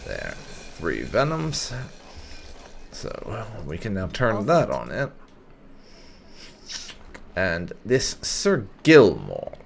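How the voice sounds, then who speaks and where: A man's voice: fairly low pitch, huge pitch swings, drawn-out words, creaky, pauses often, slight background noise. A man giving casual gameplay commentary as host, musing and thinking aloud. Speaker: gaming video host. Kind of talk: casual gameplay commentary, lightly role-played. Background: game music.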